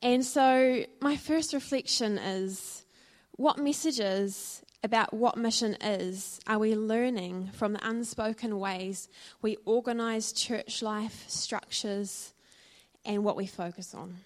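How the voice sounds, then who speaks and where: A woman's voice: mid-range voice, takes it slowly. Nz woman giving her testimony at church, earnest and thoughtful, lots of ums. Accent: New Zealand. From New Zealand. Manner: earnest and reflective, with frequent fillers. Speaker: church speaker sharing her story. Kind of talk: personal testimony talk.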